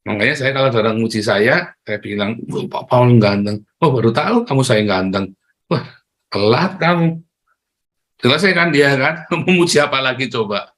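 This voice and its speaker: A middle-aged man from Tegal with a Tegal accent, giving a lively motivational talk online, speaking straight to his listeners and acting out the voices he quotes. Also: mid-range voice, moderate pace. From Tegal, Central Java, Indonesia. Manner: animated, addresses listeners directly, acts out quoted voices, chuckles often.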